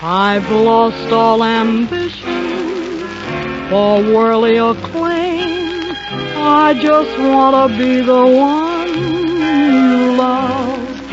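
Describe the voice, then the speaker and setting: A woman's voice: high voice, extremely slow. A woman singing a sentimental 1940s ballad on an old radio broadcast, with music behind her. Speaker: singer. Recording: muffled 1940s radio recording, loud music. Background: musical accompaniment.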